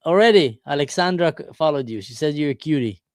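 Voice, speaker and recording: Upbeat chatty man, slightly high, dry sound. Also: animated, fast, no echo. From Israel. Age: 40s. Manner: chatty, upbeat, asks lots of questions. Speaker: livestream host chatting with strangers. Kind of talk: casual video chat. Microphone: desk camera mic.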